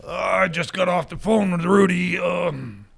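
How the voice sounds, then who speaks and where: Guy doing a grumbly hapless mechanic sidekick for radio comedy, real creaky voice, slow, lots of uhs. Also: high-pitched, a bit bright, exaggerated voice. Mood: sheepish, weary. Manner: slow, hemming and hawing, grumbling. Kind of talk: improvised radio comedy skit.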